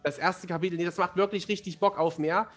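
Man in his thirties, slightly high voice, talkative and explanatory, conversational interview style. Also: fairly even intonation, quick pace, fairly bright tone, faint music behind. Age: 30s. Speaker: fantasy author, podcast guest.

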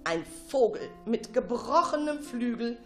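A woman narrating a children's story in an expressive, dramatic, suspenseful way. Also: on the high side, a little slow, faint music behind. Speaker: storyteller, radio show narrator.

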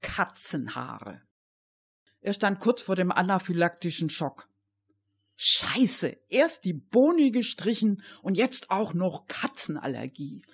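A woman's voice: lowish voice, big pitch swings, slow pace, breathy edge. A woman narrating a crime radio play in scripted storytelling style.

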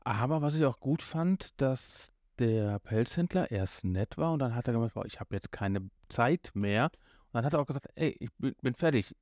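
A man's voice: mid-range voice, lively intonation, normal speed, darkish tone, no echo. Male co-host for casual banter, playful interjections, dry slightly lo-fi recording. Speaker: podcast host. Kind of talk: casual, joking podcast chat. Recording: slightly dull, lo-fi sound.

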